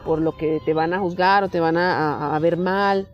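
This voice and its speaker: A woman's voice: on the low side, fairly even intonation, moderate pace, highs cut off. A woman from Venezuela with a Venezuelan accent, telling her own experience and acting out quoted dialogue with animation. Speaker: interviewee. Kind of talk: personal testimony.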